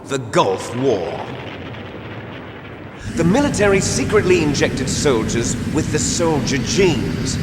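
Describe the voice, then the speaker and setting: Theatrical, menacing game villain, wildly swooping. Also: male voice, a little high, background noise. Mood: grim, revelatory. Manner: dramatic, declamatory, menacing, taunting. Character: theatrical male villain, fanatical rebel leader obsessed with genes. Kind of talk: dramatic video game voice acting. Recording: long hall reverb. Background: game music.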